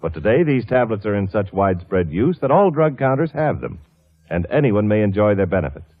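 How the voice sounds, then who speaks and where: A man's voice: lowish voice, very animated, fairly quick, faint music behind. A man reading a vintage radio advertisement as announcer, emphatic and persuasive. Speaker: commercial announcer. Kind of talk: 1940s-50s radio advertisement read. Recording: tinny old radio broadcast.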